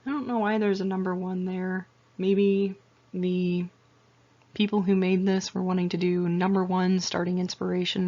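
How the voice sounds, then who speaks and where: A woman instructor talking through lecture slides in a casual, chatty way with lots of fillers and self-corrections, her voice getting tired and hoarse. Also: medium pitch, a little mellow, muffled recording. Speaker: anatomy and physiology instructor.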